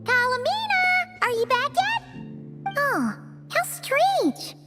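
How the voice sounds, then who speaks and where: An extremely high, childlike voice for a little floating fairy in a video game. The delivery is excitable and chatty, swinging widely in pitch and full of exclamation. Prominent music plays underneath. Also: female voice, takes it slowly, exaggerated voice. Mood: excited, calling out. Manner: exclamatory, expressive.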